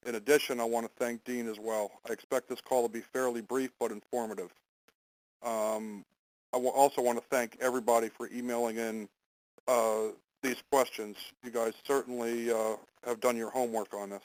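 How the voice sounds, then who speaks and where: A man moderates a question-and-answer conference call over the phone. His pitch sits in the middle for a man, and he speaks informally, sometimes stumbling and rewording as he goes. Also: poor audio. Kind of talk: semi-formal question-and-answer hosting.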